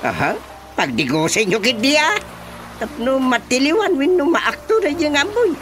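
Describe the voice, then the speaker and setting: A man's voice: very high-pitched, sing-song, moderate pace, fairly bright tone, faint music behind, full character voice. An actor playing a scheming, bossy village chairman in a radio comedy drama.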